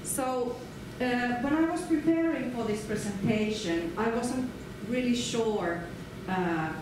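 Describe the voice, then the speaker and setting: A middle-aged woman from Finland with a Finnish accent, giving a relaxed, chatty conference lecture with frequent fillers. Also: on the high side, slow. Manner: relaxed, chatty, laughs often, many fillers. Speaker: guest lecturer. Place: large lecture hall. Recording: echoey hall, far from the mic, room noise.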